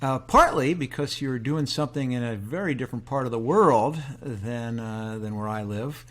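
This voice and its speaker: A man hosting a podcast interview, asking questions in a relaxed, folksy way with plenty of fillers. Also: medium pitch, moderate pace. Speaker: podcast host. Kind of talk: conversational interview.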